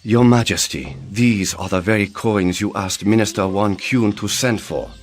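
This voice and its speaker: A man plays a commanding, solemn prime minister of a war-torn kingdom. His low voice is formal and authoritative, in game cutscene style, with music underneath. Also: moderate pace, lightly acted. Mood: grave. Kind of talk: dramatic video game cutscene acting.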